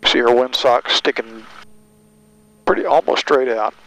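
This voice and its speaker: An older man giving patient, halting step-by-step instructions as a flight instructor, speaking into an aviation headset over intercom. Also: mid-range voice, fairly bright tone, low-quality recording. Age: older, about 60s. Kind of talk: calm instructions during a flying lesson. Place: small light aircraft cockpit. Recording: aircraft intercom headset audio.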